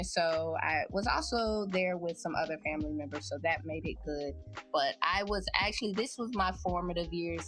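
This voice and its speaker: A woman in her thirties, a podcast host, telling her life story in a relaxed, chatty, reflective way. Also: fairly low pitch. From United States. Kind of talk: casual personal storytelling.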